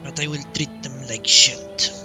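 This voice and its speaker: A man giving casual live commentary on a role-playing game, thinking aloud in short, halting phrases. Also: mid-range voice, fairly even intonation. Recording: game music behind the voice. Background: video game music.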